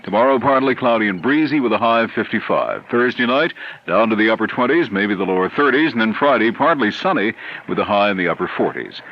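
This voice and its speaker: A man's voice: lowish voice, lively intonation, fast talker. American male radio announcer, muffled broadcast. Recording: dull 1970s AM radio, music behind.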